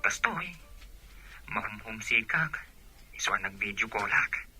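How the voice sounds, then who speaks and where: An actor playing an ardent young Filipino boyfriend in a radio drama, with a husky, breathy voice and an Ilocano accent. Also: male voice, fairly low pitch, lively intonation, takes it slowly, bright, forceful tone, soft background music, heavily acted. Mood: homesick, intimate.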